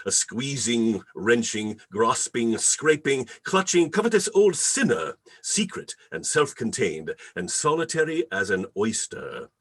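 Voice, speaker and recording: Theatrical, husky man narrating, very animated. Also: mid-range voice. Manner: theatrical, deliberate, emphatic phrasing. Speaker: announcer and narrator. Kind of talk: old-time radio announcing and storytelling. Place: remote video call reading.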